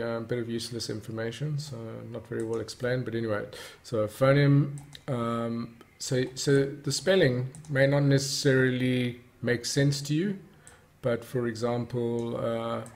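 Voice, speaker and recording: A man presenting a casual software tutorial, explaining things and defining terms as he goes. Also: mid-range voice, creaky.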